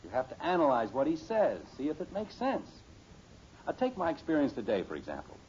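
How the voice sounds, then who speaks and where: Middle-aged father, pompous, patient, lecturing, highish lively voice, vintage radio comedy, muffled. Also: a little fast. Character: sensible, lecturing middle-aged father, smugly sure of himself. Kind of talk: 1950s radio sitcom acting. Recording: muffled, hissy old radio recording.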